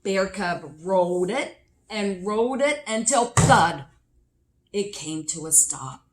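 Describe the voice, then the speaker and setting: Slow-paced woman reading a children's story. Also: mid-range voice, lively intonation. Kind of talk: expressive picture-book read-aloud.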